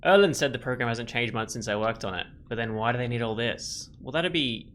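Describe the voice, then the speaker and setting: A man's voice: medium pitch, expressive, fairly quick, a bit bright, a little hiss or hum. A man giving casual let's play commentary, thinking aloud in a rambling, musing way. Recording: faint game music behind.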